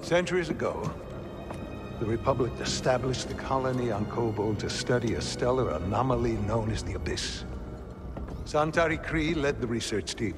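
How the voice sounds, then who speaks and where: A man plays a grave, scholarly older Jedi master in a game cutscene. He explains things formally and steadily at a middling pitch. A big room rings around him, with loud music underneath. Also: expressive, normal speed, in a large hall, natural voice. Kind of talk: video game cutscene acting.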